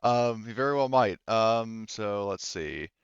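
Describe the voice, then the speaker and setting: A man's voice: fairly low pitch, lively intonation, unhurried, clean tone, no room sound, lo-fi sound. A man acting as game master for a tabletop roleplaying game, improvising narration and thinking aloud as he works out the rules. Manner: hesitant, thinking aloud while working out rules.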